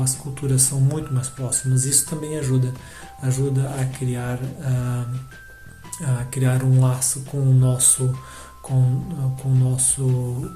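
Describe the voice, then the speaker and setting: A thirty-something man from Brazil, his accent now tinged with European Portuguese, talks in a very mellow, flat voice. He hesitates often, repeating words as he reflects. The recording sounds roomy, with soft music underneath. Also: medium pitch. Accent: Brazilian Portuguese with some European Portuguese. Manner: halting, many pauses and repeated words. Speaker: emigrant telling his story. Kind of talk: reflective personal testimony. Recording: echoey room with faint music.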